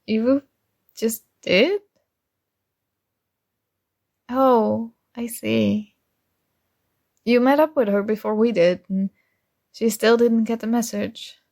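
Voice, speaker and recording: Slow-paced, oblivious sweet female friend, acted by a woman. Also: a little high, steady tone, vocal fry, a little mellow, exaggerated voice. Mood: casual, encouraging. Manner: casual, hesitant, earnest delivery. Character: oblivious, kind, earnest female friend and secret crush. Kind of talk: ASMR roleplay, intimate one-sided dialogue.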